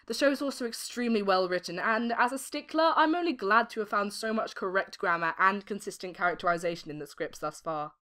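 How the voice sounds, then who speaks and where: A woman's voice: medium pitch, lively intonation, fairly quick, few pauses, pushed and bright. A British woman in her late teens giving articulate, formally worded interview answers as a voice actor. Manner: articulate, formal wordy phrasing.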